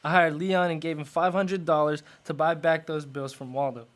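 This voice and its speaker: A terse, well-off philanderer in a radio detective drama acted by a man. His somewhat high male voice is suspicious and businesslike, the delivery curt. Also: fairly even intonation, moderate pace, natural voice. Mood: guarded, businesslike. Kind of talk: radio noir drama acting.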